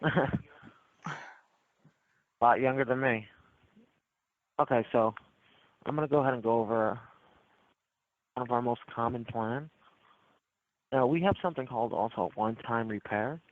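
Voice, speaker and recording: Man pitching over a tinny phone line. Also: normal speed, pauses often, no room sound. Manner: smooth, persistent, repeats selling points. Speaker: phone tech support salesman.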